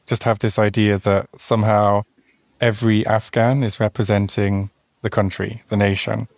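A man with a fairly low voice hosts a conversational interview. He asks thoughtful, reflective follow-up questions. His voice sounds close and dry, with no room echo. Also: level tone, moderate pace. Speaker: interviewer and channel host.

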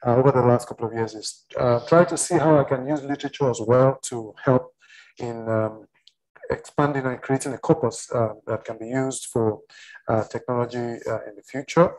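A man's voice: medium pitch, fairly even intonation, normal speed, a little mellow, poor audio. A man, a Yoruba speaker, talking as a panelist on a video call, earnest and hesitant, repeating words as he thinks. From Nigeria. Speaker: panelist, writer and research fellow. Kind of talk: casual panel discussion.

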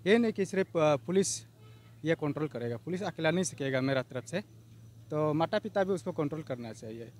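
Earnest middle-aged man giving a street interview outdoors, higher-pitched voice, handheld mic. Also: expressive, a little fast, darkish tone, slight background noise. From Arunachal Pradesh. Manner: earnest, repetitive, appealing. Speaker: vox pop interviewee. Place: outdoors by a riverbank.

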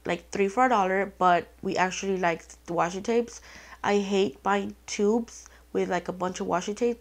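A woman vlogger chatting casually through a craft haul, her voice a bit off from being sick, rambling and excitable with lots of fillers. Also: mid-range voice. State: sick, voice sounds off. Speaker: planner vlogger. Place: indoors at a tabletop, hands only on camera.

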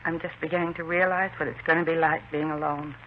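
A woman's voice: deep voice, steady tone, fast talker, lightly acted. A subdued widow played by a woman, guarded and reserved. She speaks in 1950s radio drama style on a muffled recording with faint noise. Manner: reserved, short answers.

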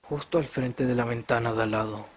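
A man's voice: medium pitch, fairly even intonation, fairly quick, natural voice. A man acting a nervous, curious teenage son in a horror anime fan dub, with a youthful Latin American Spanish accent. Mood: uneasy curiosity. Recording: faint background noise.

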